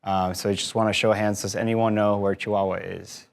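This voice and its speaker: A man's voice: on the low side, level tone, fairly quick, creaky. Man from Mexico explaining to an audience. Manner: friendly, explanatory, frequent fillers. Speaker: guest speaker, tour company owner. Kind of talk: conversational talk to a live audience. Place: event room with an audience.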